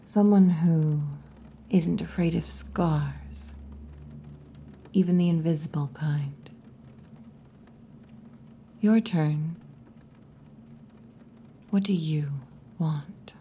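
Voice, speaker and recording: Woman acting as tomboy wolf girl bartender, ASMR roleplay, slow breathy whispers, dark mellow tone, teasing, faint background noise. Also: low-pitched, exaggerated voice. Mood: vulnerable, candid. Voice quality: breathy, mostly whispered or half-whispered. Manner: slow, hushed, teasing, with long pauses. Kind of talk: ASMR roleplay, intimate scripted monologue. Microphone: close ASMR microphone.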